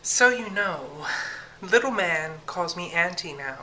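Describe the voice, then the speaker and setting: A young woman chats into a camera she holds at arm's length in her home at night, giggling often and filling her talk with "like" and "you know." Her voice is bright, with a faint hum of room noise behind it. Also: lowish voice, animated, slow pace, rough recording. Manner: chatty and giggly, lots of fillers. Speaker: vlogger. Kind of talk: casual chat to camera. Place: home kitchen at night, then living room. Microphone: camera held at arm's length.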